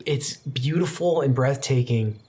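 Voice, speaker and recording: A game master narrating a tabletop roleplaying game in an improvised, descriptive, halting way. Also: medium pitch, mellow, slightly rough. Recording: music behind the voice. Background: background music.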